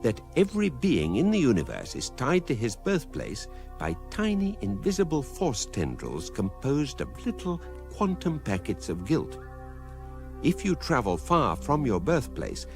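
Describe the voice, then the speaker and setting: Deadpan british sci-fi radio narrator, lowish voice, lilting delivery, faint music under it. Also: male voice. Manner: dry, deadpan, mock-scholarly. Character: calm, dry male narrator of an electronic galactic guidebook. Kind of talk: scripted radio drama narration.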